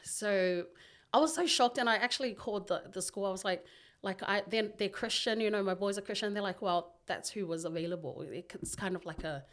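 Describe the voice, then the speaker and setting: A woman in her thirties from New Zealand, a podcast guest in a studio, talking in a relaxed, rambling way full of fillers. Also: medium pitch, a little fast. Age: 30s. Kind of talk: casual interview.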